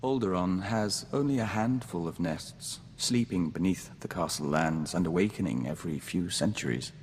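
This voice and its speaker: An actor voicing a calm, formal young man joined to an insect hive mind in a video game, speaking in a measured way as "we". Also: low-pitched, in a large hall, slight background noise. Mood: earnest, dreamy. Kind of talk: scripted video game voice acting.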